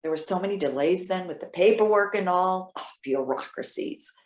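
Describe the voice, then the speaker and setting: A woman playing a trusting, excitable elderly widow in readers theater, talking in a chatty, halting, earnest way. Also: on the low side, natural voice. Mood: concerned, earnest. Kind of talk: readers theater stage acting. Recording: roomy, echoing sound.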